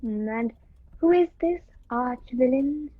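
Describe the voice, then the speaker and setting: A high-pitched, indignant lady of a radio play, acted by a young woman. She is wary and curt, with huffy exclamations. Her video-call audio is dull and dry. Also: lively intonation, takes it slowly, dry recording, exaggerated voice. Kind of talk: amateur radio play acting. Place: indoors, performed over a video call.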